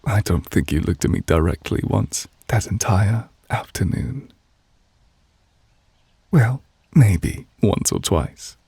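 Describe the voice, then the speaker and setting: A man acts out a soft-spoken male witch, deeply in love and quietly possessive. His voice is hushed and slow, with a gravelly fry at its edges. He speaks with gentle, formal refinement, very close and dry, as in a romantic ASMR audio roleplay. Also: lively intonation, no room sound, heavily acted. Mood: fond, teasing. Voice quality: breathy, hushed. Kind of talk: ASMR romantic roleplay, intimate character acting.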